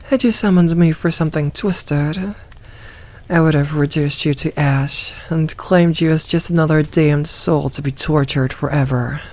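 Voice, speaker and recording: A woman voicing a possessive, teasing succubus demoness in a soft ASMR roleplay, coaxing and soothing, with some breathy moments. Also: low-pitched, a little slow. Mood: candid, possessive.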